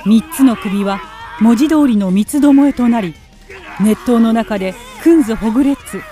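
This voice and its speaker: A woman's voice: a little high, expressive, moderate pace, dark tone. A woman giving scripted narration for a Japanese radio drama. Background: music.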